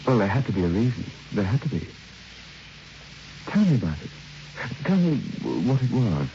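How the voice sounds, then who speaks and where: A man's voice: on the low side, very animated, moderate pace, warm tone. An actor playing an intense, insistent, probing man in an old radio drama. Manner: pressing, insistent. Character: intense, probing male guest with morbid curiosity. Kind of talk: old-time radio acting. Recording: dull, noisy old radio recording.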